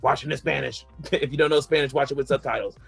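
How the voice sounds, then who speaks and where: A man's voice: medium pitch, steady tone, fast talker, creaky, fairly bright tone, husky, faint music behind. A man chatting casually on a podcast panel, rambling through his opinions with lots of "like" fillers and the odd laugh. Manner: rambling, heavy use of "like" fillers; laughs between points.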